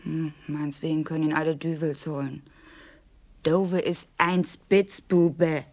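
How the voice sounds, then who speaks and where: An actress playing a sturdy young Frisian farm wife in a radio crime drama, blunt and plainspoken. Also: female voice, low voice, steady tone, takes it slowly, a little mellow, heavily acted.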